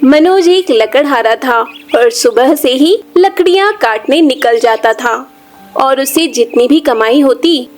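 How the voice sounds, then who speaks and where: A woman storyteller reading a scripted children's tale as narrator. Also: high-pitched.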